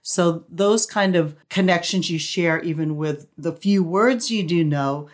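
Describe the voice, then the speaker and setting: A woman from the Philippines whose first language is Visayan, co-hosting a casual podcast chat in a soft-spoken, reflective way. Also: on the low side, a little slow. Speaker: co-host, host's wife. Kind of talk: casual conversational interview.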